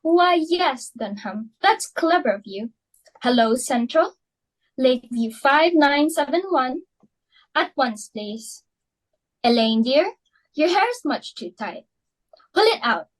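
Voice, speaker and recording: A young woman reading the part of an excitable, fashionable society matron hosting a dinner party, fussy and emphatic. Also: high-pitched, full character voice, poor audio. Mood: fussing. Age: young adult. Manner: fussy, emphatic, quick to exclaim. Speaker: reader for Mrs. Pringle. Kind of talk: student dramatic reading.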